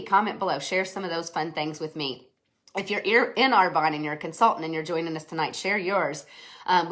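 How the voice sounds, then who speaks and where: A woman in her thirties with a slight head cold, giving an earnest, chatty business pitch on a live stream, with many fillers and restarts. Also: a bit bright. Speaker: network marketing presenter.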